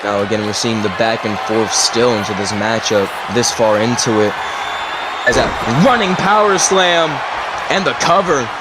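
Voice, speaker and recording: A man giving wrestling color commentary, moving between steady analysis and shouted disbelief. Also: mid-range voice, moderate pace. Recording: loud crowd and noise behind.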